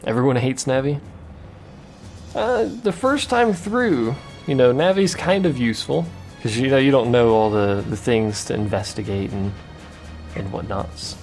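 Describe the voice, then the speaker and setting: A man's voice: mid-range voice, animated, unhurried, darkish tone, soft background music. A man giving casual, rambling gameplay commentary with a joking, self-mocking manner. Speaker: let's play commentator.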